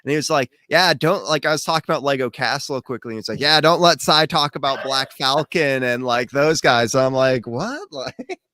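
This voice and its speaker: A man, co-founder of a livestream show, recalling its start in an earnest, halting way full of fillers. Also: mid-range voice, animated, pushed and bright. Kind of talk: casual livestream chat.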